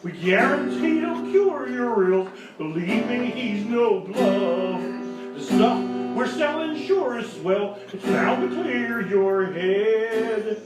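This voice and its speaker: Man as a high-voiced folksy singing guitarist. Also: animated, slow pace, far from the mic. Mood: cheerful pitch. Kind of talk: singing a comic jingle with guitar. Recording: very echoey live stage. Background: plucked guitar.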